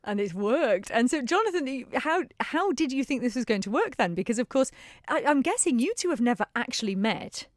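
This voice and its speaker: A woman's voice: high-pitched, big pitch swings, fairly quick, dry recording. A woman presenting a live radio show in a studio, interviewing guests with bubbly enthusiasm and a Manx accent.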